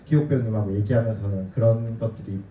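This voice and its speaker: A man, a film director, answering audience questions at a screening, speaking softly and thoughtfully. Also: fairly low pitch, fairly even intonation, quick pace, slight background noise. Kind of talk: festival Q&A answer. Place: cinema auditorium. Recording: dull, roomy, distant hall sound.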